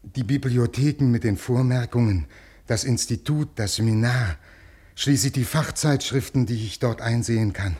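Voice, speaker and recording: An actor playing a desperate, worn-out scholar of fifty in a 1950s radio play, pleading earnestly in educated speech with a creaky, husky voice. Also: male voice, mid-range voice, normal speed, darkish tone, natural voice. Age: 50s.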